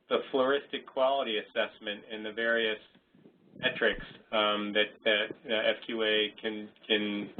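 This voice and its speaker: A man presenting in a webinar, answering a question with lots of restarts and fillers. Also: medium pitch. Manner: hesitant, many restarts and fillers. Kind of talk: unscripted Q&A answer. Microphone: conference call line. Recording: tinny phone-line audio, faint hiss.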